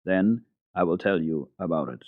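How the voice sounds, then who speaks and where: A man playing a wise, gruff old mountain grandfather who explains things in a measured, firm way, from an old radio recording. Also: low voice, no echo, phone-quality sound, lightly acted.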